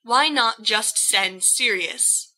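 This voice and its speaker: A woman's voice: a little high, slow, sharp tone, full character voice, poor audio. A woman voicing a furious young wife in an audiobook, scolding in an emphatic, clipped, demanding way. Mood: hard, suspicious.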